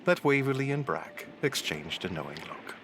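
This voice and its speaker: A man's voice: mid-range voice, sing-song, normal speed, noisy background, natural voice. A man narrating a pirate adventure audio drama in a scripted, dramatic storytelling style.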